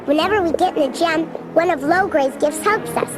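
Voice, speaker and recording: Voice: very high voice, a little fast, music in the background. A performer playing a sweet, trusting little girl in a dramatic read-along storybook. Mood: hopeful.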